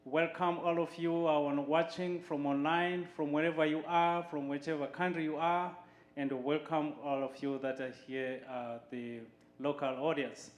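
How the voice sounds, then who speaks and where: A man from Zimbabwe giving a short, earnest welcome to a church audience, speaking slowly with frequent pauses. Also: a little high, steady tone, roomy sound. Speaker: board member of a water charity. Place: church hall.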